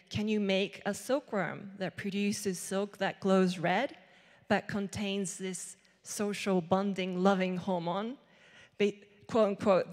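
A young woman speaks on stage into a handheld microphone in a big hall, and her voice trails off into a long reverb. She talks in a loose, chatty way, full of fillers and restarts, at a middle pitch. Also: darkish tone. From Japan. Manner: chatty, lots of likes and restarts. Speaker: artist giving a conference talk. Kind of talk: conference talk. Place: large hall with seated audience.

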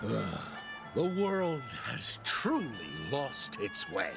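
A man's voice: fairly high pitch, big pitch swings, slow pace, creaky, music in the background, exaggerated voice. An actor playing a grumpy, jaded old man in a video game, grumbling scornfully and theatrically. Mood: scornful, suspicious. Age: older.

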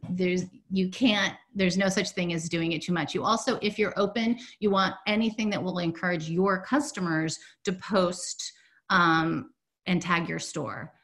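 A woman in her forties on a video call from home, presenting in a chatty, upbeat way with many fillers, her voice going hoarse. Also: lowish voice, a bit bright. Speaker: program director presenting a webinar. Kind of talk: casual webinar presentation. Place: home room, indoors. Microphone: video call, laptop mic.

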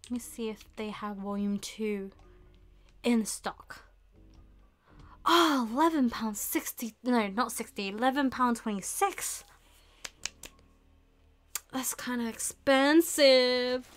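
A young woman hosting a casual live stream, thinking aloud in an unhurried way with murmured asides. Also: a little high, faint music behind. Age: young adult. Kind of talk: casual chat while shopping online. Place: small indoor room with bookshelves.

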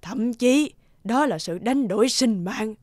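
A woman's voice: fairly high pitch, lively intonation, slow, a little mellow, no echo. A woman playing a stern old witch leader in a cartoon, cold and commanding, lecturing imperiously. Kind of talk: cartoon dubbing.

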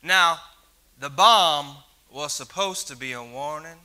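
Guy doing a nasty bomber, slow drawl, mocking, crisp bright voice. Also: on the high side, heavily acted. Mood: boastful. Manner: taunting, mocking, swings from muttering to shouting. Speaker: actor in a church skit. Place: church stage with audience.

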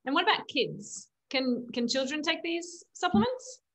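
A woman co-hosting a podcast, asking quick follow-up questions in a casual, inquisitive way. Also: sits high, big pitch swings, fairly bright tone.

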